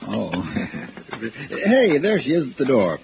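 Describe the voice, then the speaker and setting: A bluff, domineering husband in a vintage radio mystery. His voice is medium in pitch, with a folksy, interrupting manner. The audio is thin and narrow with faint noise. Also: wildly swooping pitch, slow pace, creaky, exaggerated voice. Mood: jovial, teasing. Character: jovial, domineering husband and insurance man. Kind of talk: 1950s radio drama acting.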